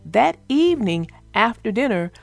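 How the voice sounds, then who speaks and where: A woman reading a children's storybook aloud as narrator, in an expressive storytelling manner. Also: fairly high pitch, moderate pace, vocal fry, dry recording, soft background music. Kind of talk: scripted storybook read-aloud.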